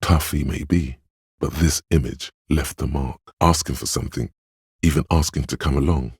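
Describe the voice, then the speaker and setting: An actor voicing a grave, compassionate inner voice in a video game, narrating with measured, solemn deliberation in a husky, gravelly tone. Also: male voice, very deep voice, a little mellow, dry recording, studio quality, full character voice. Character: Empathy, a man's inner voice, grave, compassionate, knowing.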